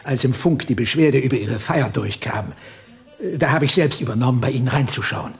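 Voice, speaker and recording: An easygoing but official police inspector played by a man in a radio drama. His mid-range voice has a gravelly creak. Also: mid-range voice, a little fast, close to an everyday voice. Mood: affable. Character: male police inspector, affable, apologetic, official.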